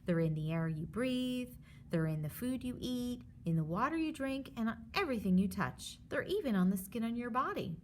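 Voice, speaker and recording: A woman's voice: on the high side, expressive, moderate pace, a little hiss or hum. A woman teacher reading a children's book aloud, stopping to explain things simply and reassure her young listeners.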